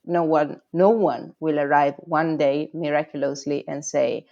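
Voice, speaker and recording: Slightly muffled recording of a woman with a fairly low voice and a Croatian accent. She speaks as an interview guest, giving conversational answers. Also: fairly even intonation, a little slow, gravelly. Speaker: interview guest, urban activism project founder.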